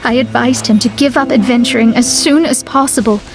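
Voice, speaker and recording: A woman's voice: a little high, steady tone, a little fast, lightly acted, low-quality recording. A woman playing a proud, serious young astrologer in a video game, with a formal, lofty, self-assured delivery. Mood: solemn.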